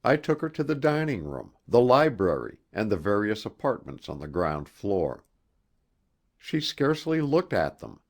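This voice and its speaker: A male audiobook narrator reads literary fiction in a measured style. His voice sits fairly low and rises and falls widely. The delivery is slow and expressive. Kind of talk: scripted literary narration of a horror story.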